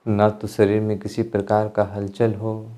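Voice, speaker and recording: Man, meditation instructor, low voice, very slow pace, calm measured phrasing, pauses between instructions. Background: music.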